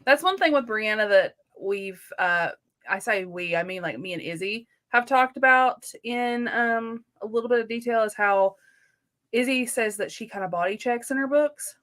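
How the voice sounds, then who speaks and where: A woman in a casual book discussion as a co-host, talking informally with slangy phrasing and softened opinions. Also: a little high, normal speed.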